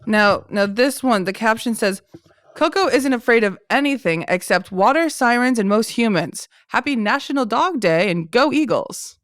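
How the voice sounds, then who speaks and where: A woman hosting a podcast, chatting playfully with quick teasing reactions. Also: fairly high pitch, animated, no echo, clean studio sound. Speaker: podcast host. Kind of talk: casual chat.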